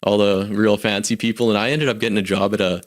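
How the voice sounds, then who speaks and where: Man, Canadian accent, forties, lowish voice, candid, reflective, casual storytelling. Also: steady tone, quick pace. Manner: candid, reflective, frequent restarts. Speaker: podcast guest.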